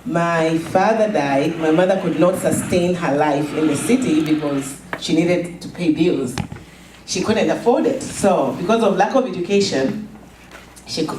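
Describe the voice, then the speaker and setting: A middle-aged woman from Zambia, slowly telling a personal story at a fundraiser, with halting pauses and repeated words. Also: deep voice, mellow, slight background noise. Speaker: charity founder giving a speech. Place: event hall. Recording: echoey room, from a distance.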